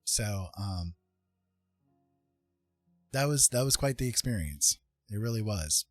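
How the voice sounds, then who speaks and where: Middle-aged man, low pitch, husky, hesitant reflective delivery, casual livestream chat, music in the background. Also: lively intonation, takes it slowly, no room sound. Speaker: livestream host.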